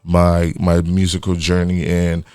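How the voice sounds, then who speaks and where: A man's voice: low voice, monotone, a little slow, darkish tone, clean tone, no echo, studio quality. A Nigerian man with a Nigerian accent, talking casually as a guest musician and often restarting and repeating words. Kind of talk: casual interview chat. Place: radio studio.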